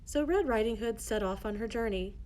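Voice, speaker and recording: A woman's voice: on the high side, fairly quick, a little mellow. Woman storyteller, faint background noise. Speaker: storyteller, narrator. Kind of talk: scripted children's fairy tale narration.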